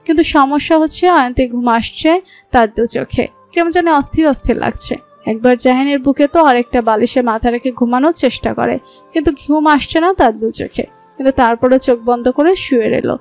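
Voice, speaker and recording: A woman narrates a scripted romantic story in a Bangladeshi accent. Her voice is distinctly high, and quiet music sits underneath the reading.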